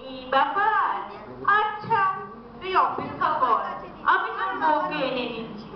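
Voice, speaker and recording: A motherly goddess acted by a woman in a stage fable, very high and distant amid heavy echo. She draws out her words, solemn and benevolent yet admonishing. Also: very animated, unhurried. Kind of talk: stage-style mythic fable acting. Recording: distant, echoey, dull, noisy hall recording.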